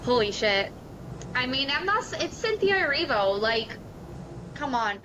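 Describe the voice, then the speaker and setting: A woman's voice: sits high, very animated, measured pace, crowd noise, rough recording. A woman with an American accent talking casually as a podcast co-host. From Miami, Florida. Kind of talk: casual chat.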